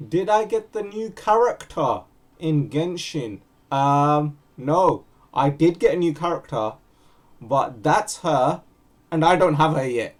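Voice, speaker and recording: A man's voice: on the high side, expressive, slow. A man chatting informally and casually as he comments on a game he is streaming. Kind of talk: casual gameplay commentary and chat. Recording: faint game music behind. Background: faint game music.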